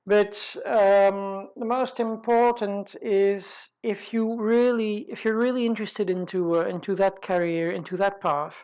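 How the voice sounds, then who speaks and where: A woman presenting an informal webinar, explaining things in a deliberate way with frequent pauses and rephrasing. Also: mid-range voice, flat delivery, darkish tone, dull audio.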